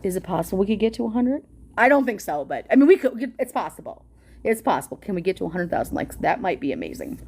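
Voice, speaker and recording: Loud woman streamer reading stuff out, gets super excited, lively voice jumping around. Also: medium pitch, fast, vocal fry, breathy edge. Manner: excitable, gasps and squeals at viewer gifts, swears casually. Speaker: livestream host reading a document aloud. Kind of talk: casual livestream chat and reading aloud.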